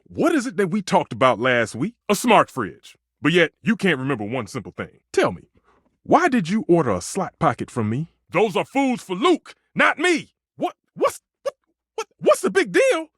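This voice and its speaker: An actor playing a proud, temperamental, food-obsessed stove chef in a video game, with pompous, flowery delivery and exasperated outbursts. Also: male voice, sits high, huge pitch swings, moderate pace, fairly bright tone, husky, no echo, studio quality, heavily acted. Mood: exasperated, fussy. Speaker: voice actor in a dating simulator game. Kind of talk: theatrical video game character acting.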